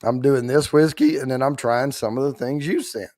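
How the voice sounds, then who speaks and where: A man with a rough, hoarse, worn-out voice, hosting a livestream in rambling, folksy casual chat while trying not to get loud. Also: medium pitch, steady tone, normal speed, no room sound, clean studio sound. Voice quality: rough, strained voice. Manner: rambling, folksy, holding back volume. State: hoarse, worn out after allergy attack. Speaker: host of a whiskey giveaway livestream.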